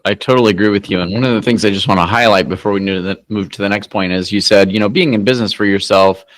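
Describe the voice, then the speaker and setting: Male interviewer, lowish voice, conversational and agreeable, dry recording. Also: steady tone, rapid-fire, dry recording. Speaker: podcast host.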